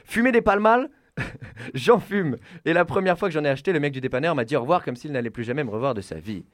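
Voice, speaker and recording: A man delivering a comic radio column in a punchy, deadpan way. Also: a little high, quick pace, no echo, studio quality.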